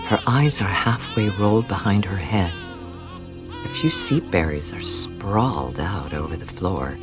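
A woman's voice: extremely low pitch, lively intonation, a little slow. A woman reading scripted narration for a fantasy audio drama, describing the scenes as narrator. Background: music.

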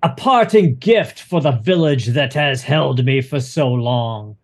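A man voicing a female fire spirit bound to an altar, speaking slowly and grandly as she bargains. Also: medium pitch, animated. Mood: gloating.

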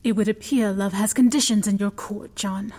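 A woman's voice: medium pitch, fairly even intonation, moderate pace, dark tone, long reverb tail, close to an everyday voice. An actress playing a principled young noblewoman who speaks in a firm, formal, indignant manner in an audio drama. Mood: defiant.

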